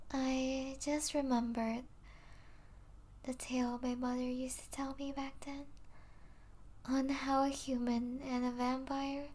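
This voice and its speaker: A woman playing a possessive yet tender vampire princess in an intimate ASMR roleplay, speaking softly with regal, doting manners and breathy asides. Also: high-pitched. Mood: wistful, intimate.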